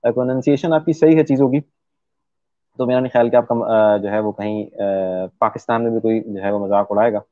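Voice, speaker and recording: A man's voice: medium pitch, fairly even intonation, dark tone, no room sound. Man on a tinny line. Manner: explains patiently, with frequent "uh" pauses and fillers. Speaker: English teacher, interview guest. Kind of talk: casual explanatory interview talk. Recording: dry, tinny phone-like line.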